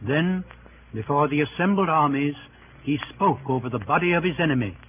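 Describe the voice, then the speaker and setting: Higher-pitched male narrator, old radio. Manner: formal, measured, grave. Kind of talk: scripted 1930s radio drama narration. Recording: muffled, scratchy 1930s radio broadcast.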